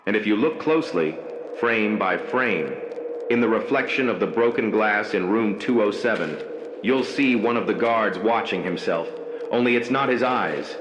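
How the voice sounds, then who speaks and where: A man reading a scripted horror story as narrator, slow, measured and ominous in delivery. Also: lowish voice, animated, fairly bright tone. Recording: echoey room with background noise.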